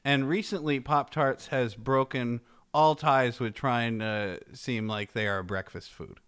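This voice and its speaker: Guy on a podcast, bright forceful voice, rambly jokey chat, kinda halting. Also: mid-range voice, fairly even intonation, clear, resonant tone. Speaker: podcast co-host. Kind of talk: casual comic chat among friends.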